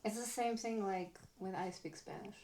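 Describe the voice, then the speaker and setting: A woman's voice: medium pitch, moderate pace, a crowd in the background. A woman chatting casually with friends, reassuring them and explaining at length, with a lot of "like" fillers. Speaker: friend giving feedback. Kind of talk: casual chat among friends.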